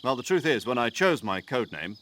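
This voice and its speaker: A chatty, earnest military commander briefs his agent over the radio in a game cutscene. His voice swings widely in pitch as he explains things at length. Faint night-time ambience sits behind him. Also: sing-song, fairly quick, fairly bright tone, slight background noise. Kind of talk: video game cutscene acting.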